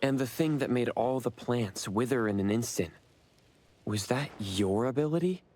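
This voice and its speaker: A man's voice: mid-range voice, normal speed, darkish tone, a little hiss or hum, lightly acted. A man playing an earnest, reserved young man in an anime game, speaking sincerely and with understatement. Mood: calm, curious. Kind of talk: anime game voice acting, conversational.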